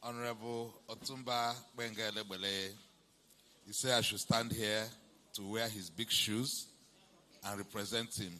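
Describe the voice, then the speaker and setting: Bright-voiced Nigerian man giving a speech. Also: fairly low pitch, steady tone, normal speed. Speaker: guest speaker, journalist. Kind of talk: informal public speech.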